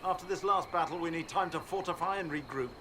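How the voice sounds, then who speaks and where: An actor playing a gruff, dutiful male army lieutenant in a video game, reporting firmly in military fashion. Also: fairly high pitch, quick pace, close to an everyday voice. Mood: firm, weary. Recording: game audio over noisy outdoor ambience.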